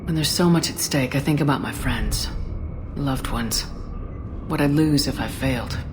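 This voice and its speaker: A woman playing a steady, commanding space soldier in a video game, firm, direct and controlled. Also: a bass voice, moderate pace, background noise. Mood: resolute, reassuring. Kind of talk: dramatic video game voice acting.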